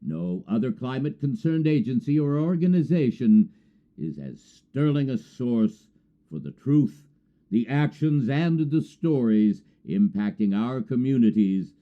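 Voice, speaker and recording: A man hosting a program, reading a scripted announcement in a deliberate, earnest promotional manner. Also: mid-range voice, big pitch swings, narrow, tinny audio. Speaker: program host and narrator.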